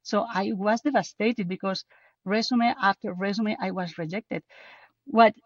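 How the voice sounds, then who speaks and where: A woman's voice: medium pitch, unhurried, no echo, highs cut off. A woman from Spain with a strong Spanish accent, speaking English as a second language, passionate and casual as an interview guest. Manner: passionate, with restarts and non-native grammar. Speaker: guest, co-author.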